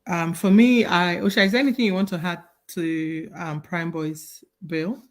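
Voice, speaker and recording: A woman's voice: fairly low pitch, steady tone, normal speed. A woman hosting an online panel discussion over a video call, talking earnestly with many fillers. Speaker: host.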